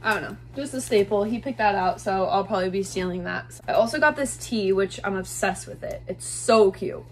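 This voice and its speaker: A woman's voice: mid-range voice, fairly quick, hardly any pauses, a little hiss or hum. Chatty young woman gushing over finds. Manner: chatty, gushing, many "like" fillers. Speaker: fashion vlogger. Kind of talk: casual thrift haul talk to camera. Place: small bedroom with bed, indoors.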